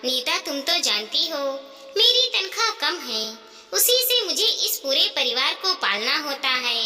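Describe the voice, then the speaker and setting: A woman's voice: sits high, big pitch swings, measured pace, background noise. A woman voicing a patient, burdened young husband in a moral story, explaining himself earnestly. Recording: long hall-like reverb.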